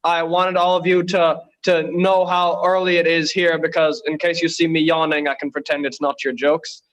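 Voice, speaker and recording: A man's voice: on the high side, flat delivery, normal speed, fairly bright tone, poor audio. A man from Bangladesh doing conversational stand-up, hesitant and understated. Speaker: stand-up comedian.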